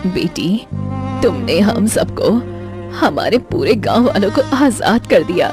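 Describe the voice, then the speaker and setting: A gentle old village granny, put on by a woman, talks slowly in a voice dropped well down. The cartoon delivery is drawn-out, with faint music behind. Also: mid-range voice, sing-song, pitched below the performer's own voice. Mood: grateful.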